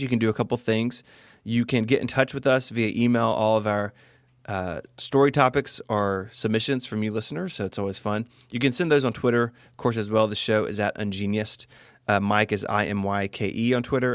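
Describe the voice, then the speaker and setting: A man's voice: fairly low pitch, no echo. A man co-hosting a podcast, moving between scripted narration and casual conversational talk on a close mic. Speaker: podcast co-host.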